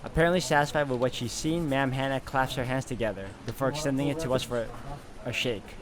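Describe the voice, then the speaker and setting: A man's voice: mid-range voice, brisk, crowd noise. A man hosting a game playthrough, reading visual novel narration aloud.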